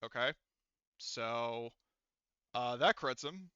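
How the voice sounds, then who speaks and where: A man's voice: medium pitch, animated, slow pace, sharp tone, clean tone, no room sound, muffled recording. A man speaking as game master, running a tabletop role-playing game and explaining rules and outcomes to players.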